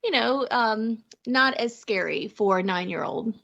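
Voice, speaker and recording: A woman's voice: fairly high pitch, animated, measured pace, vocal fry, fairly bright tone. Wry woman chatting, slightly muffled. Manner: wry, laughing as she talks. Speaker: podcast guest, prepper and mother. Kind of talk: casual anecdotal chat.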